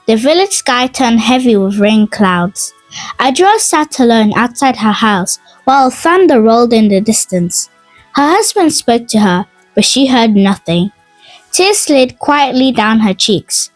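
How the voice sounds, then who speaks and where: A woman narrating a scripted folk tale in a dramatic, expressive and measured storytelling manner. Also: on the high side, no room sound, poor audio. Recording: dry voice over music. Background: music.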